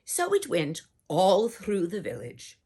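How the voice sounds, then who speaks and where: A woman reading a children's storybook aloud in a theatrical, deliberate way, as if addressing young listeners. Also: mid-range voice, huge pitch swings, mellow, slightly rough. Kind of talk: performed children's storybook narration.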